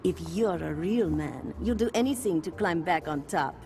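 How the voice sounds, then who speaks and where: An actress playing a sultry, manipulative agent of an assassin contest in a video game, coy, condescending and seductive. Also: female voice, lowish voice, expressive, moderate pace, over music. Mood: coaxing, smug. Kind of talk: dramatic video game acting.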